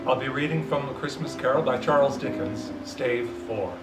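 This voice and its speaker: A man reading a classic story aloud in scripted narration with theatrical, deliberate phrasing. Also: medium pitch, over loud music. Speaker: story reader. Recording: roomy, distant mic, faint hiss.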